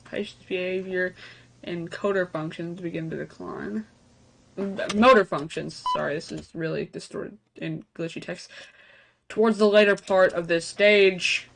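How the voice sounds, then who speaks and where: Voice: mid-range voice, a little slow, creaky. A casual reaction video host with a mid-range voice, reading text aloud and commenting on it in an offhand way. There is some faint noise and clicking in the recording. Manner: casual asides between read-out passages.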